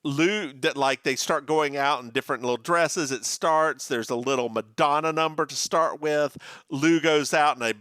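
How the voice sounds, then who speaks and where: A man's voice: a little high, moderate pace, clean tone, no echo, studio quality. A man co-hosting a podcast, chatting casually and campily as he recaps a TV episode. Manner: animated, campy, mimics characters. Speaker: podcast co-host.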